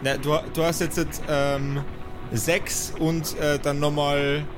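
A man's voice: on the high side, flat delivery, slow pace. A man narrating an improvised tabletop role-playing game as the game master. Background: background music.

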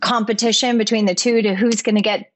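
A woman speaks in an informal, musing way, doubling back on words while she thinks. Her voice is a bit higher than average. The sound is dry, without room echo. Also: steady tone, brisk. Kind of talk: casual conversation.

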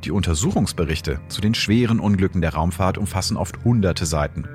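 A man narrates a scripted science segment in a very low, measured voice. He explains events carefully. Soft music plays quietly underneath. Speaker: host and astrophysicist.

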